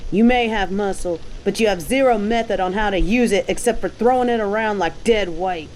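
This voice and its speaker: A woman's voice: medium pitch, fairly quick, a little mellow, slight background noise, natural voice, low-quality recording. A woman playing a cocky, dominant bounty hunter in an audio roleplay, with a mocking, taunting, slangy delivery. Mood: scornful, lecturing. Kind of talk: scripted roleplay audio drama.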